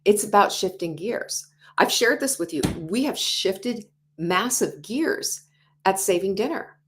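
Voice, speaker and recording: Energetic woman in her sixties. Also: mid-range voice, a little slow, background noise. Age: 60s. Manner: animated, acts out quoted inner voices, drops to whispers. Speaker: host. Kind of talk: motivational talk show monologue.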